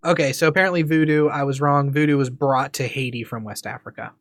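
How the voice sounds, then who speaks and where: A man's voice: medium pitch, fairly even intonation, fast, fairly bright tone, slight background noise. A man hosting a podcast, chatting casually and making self-deprecating jokes with his co-host. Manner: self-deprecating, joking banter. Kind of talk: casual chat.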